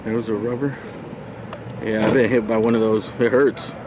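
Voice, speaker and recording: A man's voice: fairly low pitch, flat delivery, normal speed, background noise. A man chatting casually as a live streamer, slangy and prone to swearing, with bro-talk.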